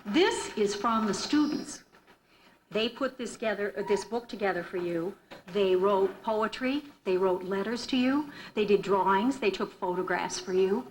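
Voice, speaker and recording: A woman supporter of a school program making warm, unscripted presentation remarks in a school auditorium. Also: medium pitch, normal speed, rough recording.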